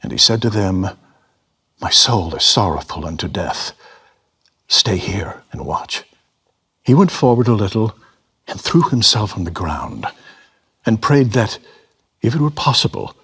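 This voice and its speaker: A man with a husky voice giving a solemn, expressive dramatic reading of scripture as a voice actor. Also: lowish voice, unhurried, long pauses.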